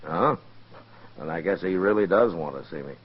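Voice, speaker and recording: An actor playing a dry, stubborn frontier marshal in a 1950s radio western, with a laconic, folksy delivery. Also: male voice, low voice, lively intonation, moderate pace, slightly rough. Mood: reluctant. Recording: muffled, dull 1950s radio recording.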